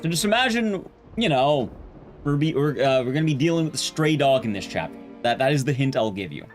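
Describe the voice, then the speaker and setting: A man talks as a livestream host in a casual, slangy way. His pitch moves around a lot, swinging from emphatic points to teasing hints. Music plays softly behind his voice. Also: sing-song, brisk. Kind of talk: casual game stream commentary, chatting with viewers.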